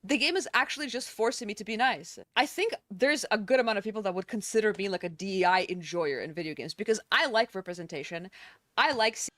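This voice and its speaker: A woman's voice: mid-range voice, lively intonation, fast talker, fairly bright tone, no echo, clean studio sound. A woman giving her opinion in a casual, blunt, sometimes profane way, from a played clip. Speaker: content creator in a reacted clip.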